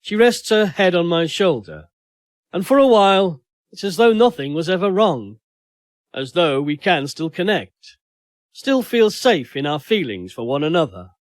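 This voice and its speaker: A man reading a literary short story aloud as an audiobook narrator, in a measured, expressive storytelling manner. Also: high-pitched, dry recording, clean studio sound.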